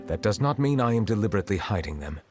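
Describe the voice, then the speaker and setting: A man voicing a dignified, reserved chief justice in a video game, formal and composed, with measured wording. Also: lowish voice, expressive, close to an everyday voice. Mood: guarded, grave. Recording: music behind the voice.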